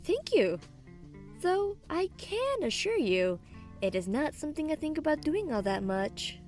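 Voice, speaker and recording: A woman's voice: high-pitched, very animated, unhurried, darkish tone, faint music behind. A woman playing a kind, poised young female student with a dry wit in an audio drama. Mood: wry.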